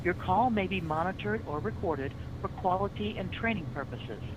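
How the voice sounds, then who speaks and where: A man's recorded voice reading an automated phone menu in an even, formal tone over a muffled, noisy line. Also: high-pitched, normal speed.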